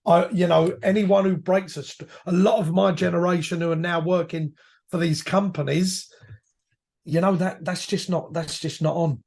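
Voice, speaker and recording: Croaky man with a cold. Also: high-pitched, fairly even intonation, normal speed, darkish tone. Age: 50s. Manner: rambling with frequent restarts and self-corrections. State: hoarse from a cold. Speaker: podcast guest, retired firefighter. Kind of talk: reflective conversational storytelling.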